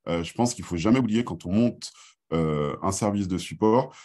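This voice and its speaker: A man with a low-pitched voice leads an informal business presentation. His delivery is halting, with many filled pauses as he works through each point. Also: a little mellow.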